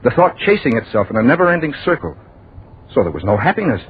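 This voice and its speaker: A man's voice: medium pitch, sing-song, fast talker, husky. An actor playing a haunted man obsessed with death, earnest and overwrought in a 1940s radio drama monologue. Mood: haunted confession. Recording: tinny 1940s radio, faint noise.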